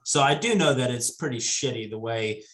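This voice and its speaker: A man's voice: mid-range voice, moderate pace, fairly bright tone. A man in his twenties talking casually as a podcast guest, easygoing and rambling with restarts. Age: 20s. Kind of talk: casual conversation.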